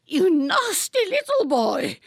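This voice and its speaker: A woman's voice: sits high, big pitch swings, slow, no echo, clean studio sound, pitched above the performer's own voice, exaggerated voice. A woman voicing a stout, elderly, haughty lady who speaks with gracious condescension in a storybook reading. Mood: furious. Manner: gracious, patronizing, grand.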